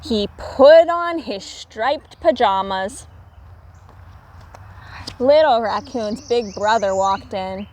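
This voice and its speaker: A woman teacher reading a children's storybook aloud in an expressive, deliberate way. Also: on the high side.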